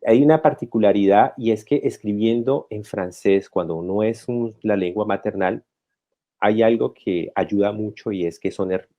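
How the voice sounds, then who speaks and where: A man from Colombia speaking as a guest writer, talking reflectively and pausing to restart his thoughts, recorded over a video call. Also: on the low side, dull audio. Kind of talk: conversational talk.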